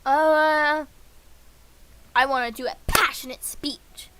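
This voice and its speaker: A woman's voice: sits high, steady tone, takes it slowly, noisy background. A woman streaming a game, reading visual novel dialogue aloud in a casual, playful way.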